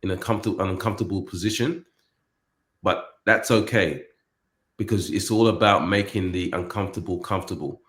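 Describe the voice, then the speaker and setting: A man in a casual panel discussion, speaking haltingly and often repeating and rephrasing his words. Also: deep voice, fairly bright tone. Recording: echoey room sound.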